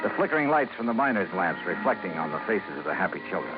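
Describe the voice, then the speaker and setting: An actor playing a wry American insurance investigator, narrating in punchy old-time radio noir style. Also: male voice, low voice, very animated, fast. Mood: warm, reflective. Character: wry freelance male insurance investigator narrating his case. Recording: dull vintage radio, music underneath.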